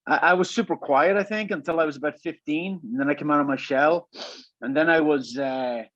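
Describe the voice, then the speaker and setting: A man in his fifties talks with an Irish lilt softened by years in America. He tells his story in a halting way, often repeating a word before going on. The sound is dry and a bit dull. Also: on the high side, dry recording. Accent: Irish with some American. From Ireland. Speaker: guest. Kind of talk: relaxed storytelling interview.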